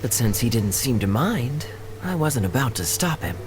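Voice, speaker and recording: An actor playing a composed, tactful, wryly amused captain in a video game. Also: male voice, medium pitch, very animated, normal speed, background noise, lightly acted. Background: background music.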